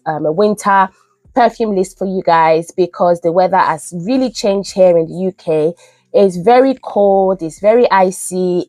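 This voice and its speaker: A woman's voice: fairly low pitch, darkish tone, no room sound. Young woman, nasal from a cold, enthusiastic, casual review chat, dry close sound. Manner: chatty and enthusiastic. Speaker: beauty vlogger. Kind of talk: casual product review vlog. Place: UK home.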